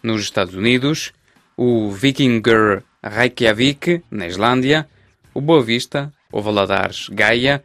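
A man working as a radio sports reporter, reading the news and putting questions to players in a measured, formal way. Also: medium pitch, fairly bright tone, no room sound, clean studio sound. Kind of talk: scripted news reading and interviewing.

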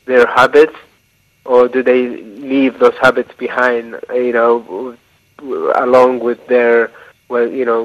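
A man from Eritrea, a novelist, answering interview questions in a thoughtful, halting way with frequent restarts. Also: medium pitch, highs cut off. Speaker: novelist guest.

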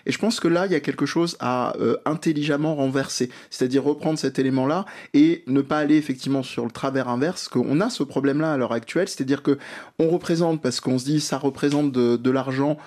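A man speaking as a panel guest in a radio discussion, hesitant and measured with many pauses and fillers. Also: mid-range voice, a little mellow.

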